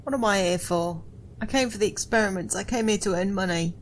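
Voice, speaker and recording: A woman's voice: mid-range voice, a little fast, slight background noise, natural voice, rough recording. A woman playing a frightened, pleading prisoner in an audio drama, anxious and urgent. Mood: wary, indignant. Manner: anxious, stammering, urgent questioning. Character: frightened, pleading female prisoner, devoted wife.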